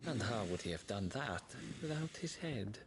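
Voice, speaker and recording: Male actor as sinister game schemer: calm, condescending, taunting, with swooping inflection over faint noise. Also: lowish voice, moderate pace, lightly acted. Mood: cool mockery. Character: cool, taunting, sinister schemer goading a warlord. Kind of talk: dramatic video game acting.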